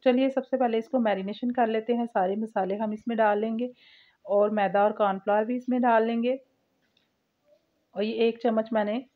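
A woman giving a step-by-step cooking voice-over as the host of a recipe channel, on a lo-fi home recording. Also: on the high side.

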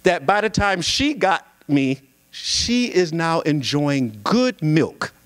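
A man's voice: on the high side, slow. A young man speaking at a singles conference from a pulpit with a handheld mic, telling a story with humor in a sermon-like style. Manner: lively, storytelling, jokes with the crowd, animated delivery. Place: church sanctuary at a pulpit. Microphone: handheld microphone.

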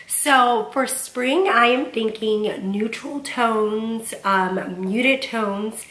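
A cheerful woman in her thirties, from Southern California, hosts a casual home vlog from her living room. She chats in a slightly high voice with easy "um"s. Her voice is still a little off from a recent cold. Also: drawn-out words, a bit bright, rough recording. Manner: upbeat and chatty, with frequent "um" fillers. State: voice slightly off while getting over a cold. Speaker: home vlogger, mom. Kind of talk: casual cleaning and decorating vlog.

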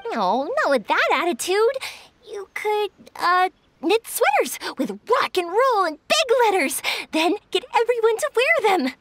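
A woman's voice: extremely high pitch, big pitch swings, measured pace, fairly bright tone, no echo, faint music behind, heavily acted. A woman voicing a cheerful young fireworks-maker who is bubbly, playful and teasing, in video game voice acting. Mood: upbeat.

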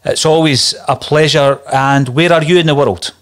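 A man's voice: on the high side, fairly even intonation, normal speed, fairly bright tone. Casting: male podcast host, friendly, anecdote-driven questions on a video call. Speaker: show host. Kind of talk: casual video call interview. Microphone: desk mic on video call.

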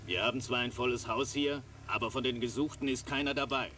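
Man as a fast-talking cartoon agent. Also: mid-range voice, fairly even intonation, lightly acted. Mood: businesslike. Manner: brisk, matter-of-fact. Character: businesslike male agent reporting to his team leader. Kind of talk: dubbed action cartoon dialogue. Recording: distant, noisy old cartoon soundtrack.

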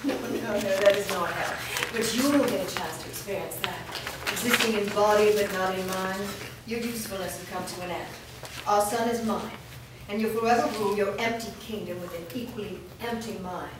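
A woman's voice: mid-range voice, fairly quick, natural voice. A woman playing a seductive, cruel, ageless vampire queen in a staged script reading, taunting and commanding. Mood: taunting, menacing. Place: bare indoor room with small audience. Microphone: far camera. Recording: echoey room, distant mic, noisy.

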